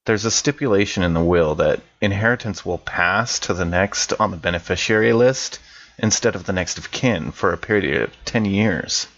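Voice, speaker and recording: A man playing a wary, chummy guy in his thirties, speaking a scripted personal message in an informal, measured way. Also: deep voice, a bit bright, muffled recording. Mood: uneasy, guarded. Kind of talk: scripted in-character monologue, conversational.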